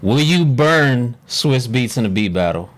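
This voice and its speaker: A man hosting a casual podcast, telling stories in a relaxed way and cutting in with short questions. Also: medium pitch, measured pace. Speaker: podcast host.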